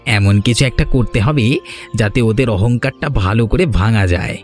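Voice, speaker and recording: A man's voice: fairly low pitch, normal speed, faint music behind, lightly acted. A man playing a sly, obsequious henchman of a village landlord in a cartoon, deferential and needling. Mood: aggrieved. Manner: deferential to his boss, needling. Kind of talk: Bengali cartoon voice acting.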